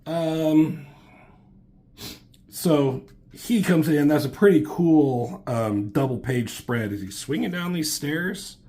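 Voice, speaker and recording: A man in his thirties, just getting over a cold so his voice sounds slightly off, reviewing comics at home in a loose, halting way, full of ums and casual swearing. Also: on the high side, dark tone. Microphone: camera mic.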